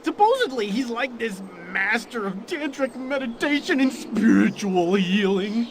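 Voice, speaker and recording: A man voicing a nervous but mellow, gossipy hippie stoner informant in a video game, with a slangy drawl. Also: extremely high pitch, expressive, a little slow, background noise, heavily acted. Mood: laid-back, gossipy. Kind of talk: video game cutscene voice acting.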